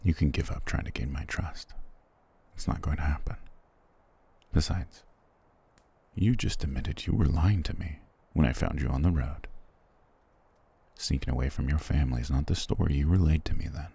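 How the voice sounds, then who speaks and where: A man playing a cruel, proud, weary soldier prince in an ASMR fantasy roleplay, curt and condescending, with a gravelly, husky voice. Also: extremely low pitch, moderate pace, pauses often, dark tone, heavily acted. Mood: cold, scornful. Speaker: voice actor in audio roleplay.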